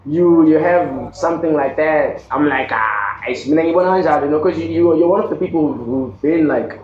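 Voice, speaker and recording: A man's voice: a little high, brisk. South african guy hosting a podcast, earnest, restarts a lot, roomy dull sound. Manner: earnest, many restarts, mixes in Zulu. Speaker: podcast host. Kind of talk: casual chat. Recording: dull echoey room sound.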